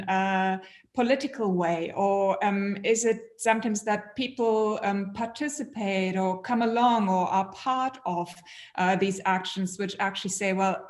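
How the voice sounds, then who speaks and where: A woman moderator poses a long, hesitant question at a mid pitch, hedging and tagging her sentences with "no?". Her voice sits in a noticeably echoey room. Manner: hesitant, tentative questioning with tag "no?". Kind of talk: panel discussion.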